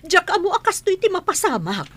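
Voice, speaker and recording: A woman's voice: extremely high pitch, very animated, fast talker, bright, forceful tone, heavily acted. An actress playing a careless, defensive wife and mother in a radio drama, flippant in manner.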